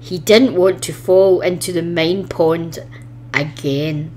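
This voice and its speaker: A woman's voice: on the low side, steady tone, slow, warm tone, slight background noise. A woman narrating a children's story expressively, reading from a script as a storybook reader.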